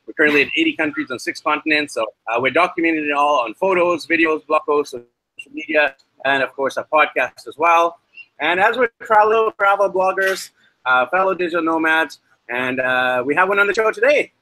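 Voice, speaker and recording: A man hosting a travel podcast over a video call from a hostel, chatty and filling pauses with "uh". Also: a little high, bright, forceful tone, no echo, low-quality recording. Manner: chatty, many uh fillers. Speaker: podcast host. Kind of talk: podcast interview. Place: hostel with weak Wi-Fi.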